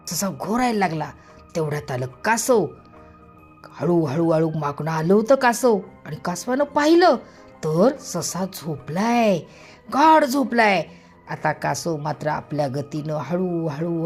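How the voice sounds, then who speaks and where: A woman's voice: on the low side, a little mellow. A woman telling a story to young children expressively, drawing out and repeating words for emphasis, with music behind her. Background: background music.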